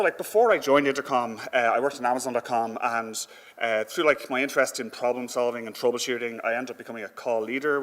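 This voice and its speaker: A man giving an informal conference presentation in a conversational, halting way with frequent filler words. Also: mid-range voice, a bit bright. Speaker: conference speaker. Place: indoor conference hall. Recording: echoey hall with long reverb.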